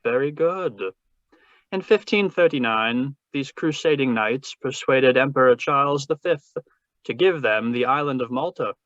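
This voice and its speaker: A man's voice: medium pitch, a little slow, fairly bright tone, no room sound, lightly acted. Man playing a pompous, scheming radio-drama crime boss, muffled. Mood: grandly storytelling. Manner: pompous, florid, polite delivery. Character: suave, wordy, scheming older crime boss obsessed with treasure. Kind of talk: 1940s radio drama read-through. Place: remote read-through over a video call. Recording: muffled, dry video-call audio.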